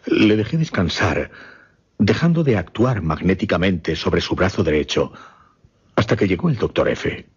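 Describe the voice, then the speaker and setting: Grave mesmerist, deep and very husky. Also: male voice, very animated, exaggerated voice. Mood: grave, measured. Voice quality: husky, breathy. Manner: suspenseful, halting, with dramatic repetitions. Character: grave mesmerist man recounting a horrifying case. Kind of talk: dramatic radio horror storytelling. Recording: dull radio drama recording.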